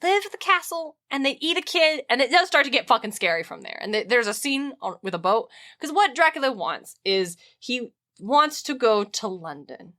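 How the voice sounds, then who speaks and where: A woman podcast host telling stories in a casual, comic chat, animated and emphatic, with plenty of swearing. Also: a little high, normal speed, hardly any pauses.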